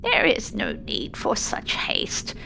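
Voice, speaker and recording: Woman voicing a slow, quavery old grandmother. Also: a little hiss or hum, rough recording. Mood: grave, reproving. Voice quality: quavery, hushed. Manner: slow, grave speech. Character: grave, gentle, disapproving old Japanese grandmother. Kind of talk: audiobook character voice.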